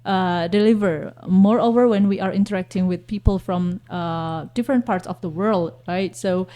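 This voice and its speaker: Woman, a teacher on a radio talk show. Warm and mellow, hesitant, often stops to find the word. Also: fairly low pitch, moderate pace. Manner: hesitant, searching for words with repeated fillers. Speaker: guest teacher.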